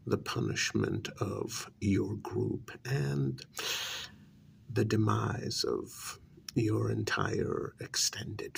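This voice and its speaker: A man playing a cruel, haughty vampire aristocrat in an ASMR role-play, speaking in a gravelly, mock-sympathetic drawl that often drops to a whisper. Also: lowish voice, a little hiss or hum, heavily acted. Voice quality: gravelly, creaky. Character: cruel, haughty male high-society vampire taunting a captive. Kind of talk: ASMR role-play, intimate dramatic monologue.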